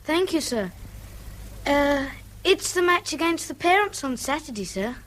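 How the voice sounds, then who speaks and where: A woman's voice: high voice, very animated, measured pace, a bit bright, exaggerated voice, poor audio. A woman playing a polite, eager young schoolboy in a radio play, deferential and clipped. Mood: respectful, hopeful.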